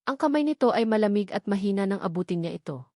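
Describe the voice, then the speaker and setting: A woman's voice: mid-range voice, animated, fast, warm tone, no echo. Woman narrator, dry dramatic storytelling. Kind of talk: dramatic scripted romance narration.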